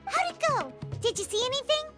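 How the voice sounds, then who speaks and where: Video game fairy sidekick: very squeaky, lively swooping pitch, childlike, curious, excitable. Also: female voice, brisk, full character voice. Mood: puzzled. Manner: refers to self in third person, naive questioning. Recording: background music over dialogue.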